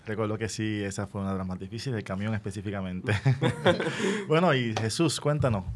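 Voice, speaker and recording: A man with a Puerto Rican accent hosting a casual radio interview. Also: normal speed. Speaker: radio host. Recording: faint low rumbling noise.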